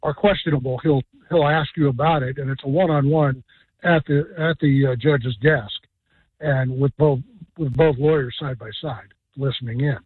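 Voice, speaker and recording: A man calling in to a radio show, telling a story in a halting, rambling way with many fillers. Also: medium pitch, steady tone, husky, dry recording. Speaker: caller. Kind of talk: phone-in chat. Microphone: telephone. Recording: dry tinny phone line.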